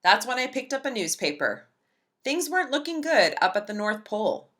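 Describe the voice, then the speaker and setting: Woman doing a kids book read aloud, really animated, bright clear-cut voice, lots of expression. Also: on the high side, moderate pace. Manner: animated, emphatic storytelling.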